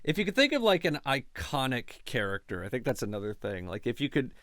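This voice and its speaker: A man's voice: medium pitch, big pitch swings, fairly bright tone, dry recording, clean studio sound. A man hosting a podcast interview in casual chat, talkative and laughing often as he speaks. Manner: chatty, laughs often, quick restarts. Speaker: podcast host.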